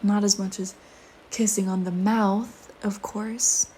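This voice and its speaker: A woman voicing a flirty, teasing, predatory female vampire in an intimate audio roleplay, with playful banter and breathy murmurs. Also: mid-range voice, level tone, takes it slowly, dark tone, slight background noise, natural voice. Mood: seductive, hungry.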